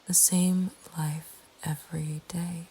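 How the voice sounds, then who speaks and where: A woman narrating a scripted horror story in a breathy ASMR whisper, with a hushed, deliberate delivery. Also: fairly low pitch, flat delivery. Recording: faint ambient background noise. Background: soft nature ambience.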